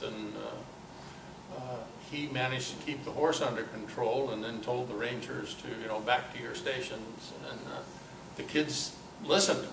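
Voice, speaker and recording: An older man giving an informal, halting talk to a club, with a self-deprecating, dryly humorous manner. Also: mid-range voice, gravelly. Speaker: guest speaker at a club meeting. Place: club meeting room, indoors. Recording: roomy, distant mic, noisy amateur recording.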